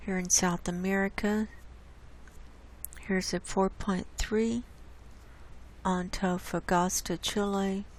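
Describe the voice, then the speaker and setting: A hoarse woman hosting her own channel, walking casually through earthquake maps on screen and pointing out each spot with an unhurried, gravelly delivery. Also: mid-range voice, fairly even intonation, poor audio. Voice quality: gravelly, coughs and clears throat. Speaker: online science channel host. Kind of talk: casual screen-share data report. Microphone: desk mic.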